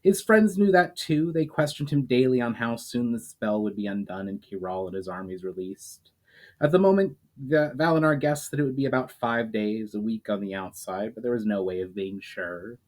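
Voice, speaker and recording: A man's voice: mid-range voice, normal speed. Man reading his own fantasy novel aloud. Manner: hesitant intro with many fillers, steady storytelling read with small restarts. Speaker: self-published author.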